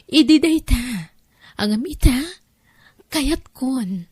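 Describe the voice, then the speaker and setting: A woman's voice: high voice, animated, takes it slowly, a little mellow, slightly rough, no echo, clean studio sound, full character voice. An Ilocano-accented woman playing a coaxing, insistent wife in a radio-style drama, speaking emphatically.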